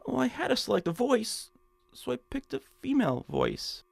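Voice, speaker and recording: A henpecked nebbish, whiny and stammering, his creaky voice caught close and dry. He sounds timid and apologetic, a put-upon little man in a radio comedy. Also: extremely high pitch, very animated, normal speed, no room sound, exaggerated voice. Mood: flustered pleading. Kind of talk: comic radio drama acting.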